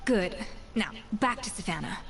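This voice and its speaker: A woman's voice: medium pitch, lively intonation, moderate pace, soft background music, natural voice. An actress voicing a proud, brusque female chef in a video game, stern and commanding but forgiving. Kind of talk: scripted game voice acting.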